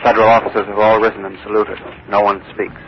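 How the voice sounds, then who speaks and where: An earnest male news correspondent, played by a radio actor, reporting as if live from history. He speaks in measured, dramatic phrases with weighty pauses, in 1940s radio style. The recording is a dull, hissy old broadcast. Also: fairly low pitch, level tone, lightly acted. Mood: solemn, hushed.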